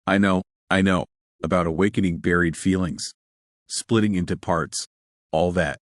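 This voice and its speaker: Man as blunt, insistent young man, low-voiced. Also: fairly even intonation, normal speed, dry recording, studio quality, close to an everyday voice. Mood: impatient. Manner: direct, insistent.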